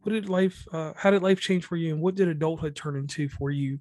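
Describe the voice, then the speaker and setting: A man with a slightly raised voice hosts a relaxed podcast interview, meandering through his questions and restarting phrases. The sound is dry and close, with little room around it. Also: fairly high pitch, brisk, dry recording. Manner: rambling, restarts often. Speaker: podcast host. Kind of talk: casual interview.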